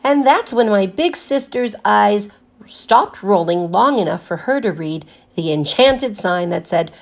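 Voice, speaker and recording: A woman teacher reading a picture book aloud to children in an expressive, deliberately emphasized storytelling style. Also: medium pitch, gravelly.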